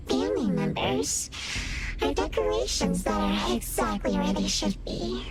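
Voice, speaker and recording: Slow, haughty, eerie woman. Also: mid-range voice. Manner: slow, formal, theatrical reciting. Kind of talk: video game voice acting.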